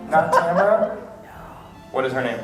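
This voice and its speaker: A man's voice: fairly high pitch, expressive, normal speed. Man presenting on an echoey PA. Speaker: event presenter. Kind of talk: live stage talk on a microphone. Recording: echoey PA in room, music and crowd.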